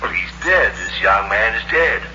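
A man's voice: fairly low pitch, lively intonation, normal speed, sharp tone. An actor playing a matter-of-fact, sceptical male doctor in a 1960s radio drama, with a dismissive manner. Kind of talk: 1960s radio suspense acting. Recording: very noisy, muffled old radio recording.

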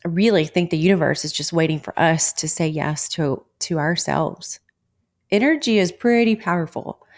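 A woman's voice: low-pitched, moderate pace. A woman from Texas with a Texan accent, hosting a podcast and giving a blunt, outspoken motivational talk, swearing freely. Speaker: podcast host. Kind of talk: motivational monologue.

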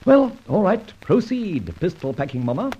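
An actor playing a suave, flippant gentleman adventurer, bantering and clipped, in an old radio drama. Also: high-pitched, sing-song, moderate pace, slightly rough, full character voice. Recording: muffled old radio transcription.

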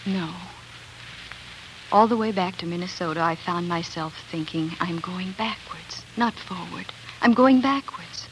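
Earnest young prairie wife, old radio. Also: female voice, fairly low pitch, unhurried, background noise. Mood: reflective. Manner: earnest, dramatic delivery. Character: young homesteader wife and mother narrating prairie life, wistful, earnest. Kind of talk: 1940s radio drama acting and narration. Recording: 1940s radio broadcast recording.

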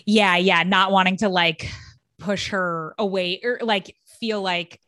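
A woman's voice: medium pitch, fairly even intonation, slow, pushed and bright, no echo, clean studio sound. A woman hosting a podcast interview, chatty and casual with lots of filler words. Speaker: podcast host.

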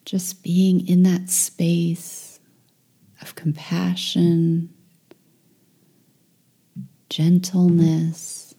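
A woman leading a guided meditation in a soft, breathy, near-whispered voice, gentle and soothing with long pauses. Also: fairly low pitch, monotone.

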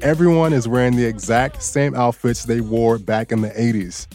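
Mellow-voiced man narrating a news podcast. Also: medium pitch, moderate pace, faint music behind. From Bangkok, Thailand. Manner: relaxed, personal, with light joking. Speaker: guest host and audio engineer.

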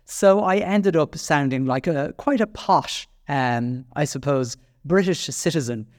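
A man's voice: fairly high pitch, unhurried, no room sound, clean studio sound. A man with an Irish accent hosting a solo podcast, chatty and self-deprecating, with frequent fillers and restarts. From Ireland. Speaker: podcast host.

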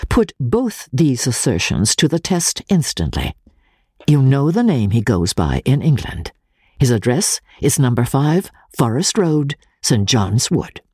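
A man narrating classic literature in the first person as a dramatic reading, in a measured, formal, deliberate manner. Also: medium pitch, big pitch swings, darkish tone, breathy edge, no echo, studio quality. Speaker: audiobook narrator.

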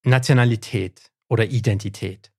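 A man's voice: on the low side, fairly even intonation, normal speed, a little mellow, no room sound. Canadian man speaking German, dry close sound. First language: English. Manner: thoughtful, hesitant with frequent fillers and restarts. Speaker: guest historian. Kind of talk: podcast interview.

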